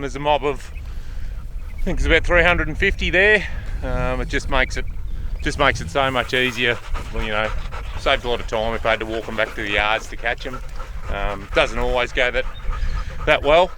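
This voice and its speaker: A man's voice: medium pitch, normal speed, noisy background. An Australian man, a farmer, chatting casually to camera outdoors on his sheep farm, rambling with many fillers and restarts. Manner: loud calls and commands to working dogs. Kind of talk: casual vlog talk to camera.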